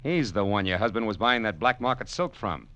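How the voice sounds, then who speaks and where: A man's voice: on the low side, expressive, brisk, bright, forceful tone. An American man playing a wry, tough private detective who narrates his case in 1940s radio drama style. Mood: wry, tense. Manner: wry, sardonic, slangy. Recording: dull old radio broadcast recording.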